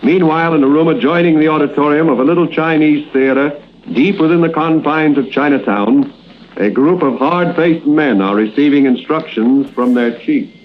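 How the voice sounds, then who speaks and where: A man's voice: a little high, normal speed. Dramatic old-time radio narrator, man. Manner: dramatic, suspenseful. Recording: dull old radio recording, faint hiss.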